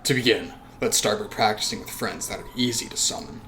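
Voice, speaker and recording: A man voicing a young girl witch who speaks in a soft, coaxing way. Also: fairly low pitch, fairly quick, a little hiss or hum, pitched below the performer's own voice.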